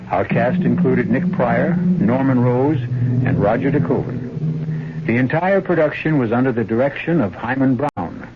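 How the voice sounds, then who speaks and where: A man hosting an old-time radio drama, narrating a script in a measured, reflective, solemn manner. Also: mid-range voice, heavy background noise. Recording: dull radio recording under loud music. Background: music.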